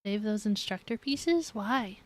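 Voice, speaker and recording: A woman's voice: medium pitch, lively intonation, a little slow, slight background noise. A woman giving casual live gameplay commentary, giggly and thinking aloud as she plays. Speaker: video game streamer. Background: game music.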